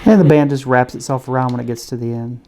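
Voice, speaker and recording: A man's voice: mid-range voice, steady tone, quick pace, dark tone, slight background noise. A man giving a casual product demonstration, explaining things plainly and without hurry. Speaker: gadget reviewer.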